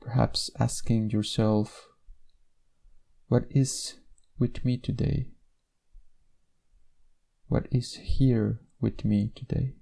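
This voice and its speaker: A man leading a guided meditation in a measured, instructional way, with gentle pauses between phrases. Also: mid-range voice, fairly even intonation, gravelly.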